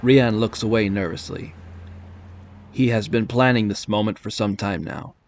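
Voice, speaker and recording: A man's voice: fairly low pitch, moderate pace, a little hiss or hum. A man narrating an audio drama from a script in a storytelling style.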